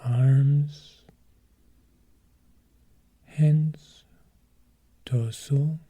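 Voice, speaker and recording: A man leads a guided meditation as a teacher. His voice is very mellow and dark, with a soft, husky breathiness. He speaks extremely slowly and calmly, in short measured phrases separated by long pauses. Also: medium pitch.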